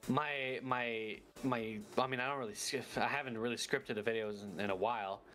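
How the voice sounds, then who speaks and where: A man's voice: medium pitch, moderate pace, soft background music. Man chatting as a guest. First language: French. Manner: animated, repeats words while thinking. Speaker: guest content creator. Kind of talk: casual interview chat.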